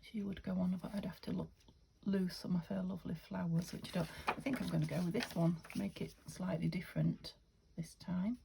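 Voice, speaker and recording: A soft-spoken woman from the UK talks through a craft project, thinking aloud and often slipping into a near-whisper. Her voice sits low and mellow, with a gravelly, slightly croaky edge. A faint hiss of noise sits behind her. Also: steady tone, fairly quick, few pauses. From United Kingdom. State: a little croaky. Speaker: craft vlogger. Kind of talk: casual craft-along chat.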